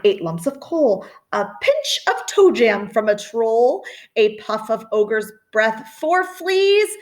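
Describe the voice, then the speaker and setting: A middle-aged woman reading a children's picture book expressively, with dramatic emphasis and chatty asides. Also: a little high. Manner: animated, deliberate, dramatic emphasis. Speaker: storytime reader.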